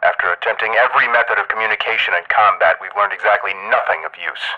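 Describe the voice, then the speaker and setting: An actor playing a grave, measured official giving a formal, clinical briefing to the president in an audio drama. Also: male voice, fairly low pitch, lo-fi sound, natural voice.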